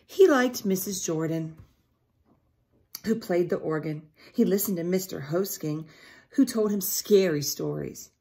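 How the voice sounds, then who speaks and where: A middle-aged woman reads a storybook aloud in a fairly low voice. She tells it deliberately, as a teacher would to young children, and breaks off to put questions to her listeners. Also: dark tone. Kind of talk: picture-book read-aloud with asides to children. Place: small indoor room at home.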